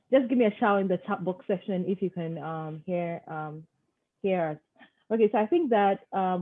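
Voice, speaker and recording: A woman with a fairly low voice moderates an online panel, speaking haltingly and piling up questions. She comes through a thin, phone-like call line. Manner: halting, stacks several questions at once. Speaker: webinar moderator. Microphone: video call.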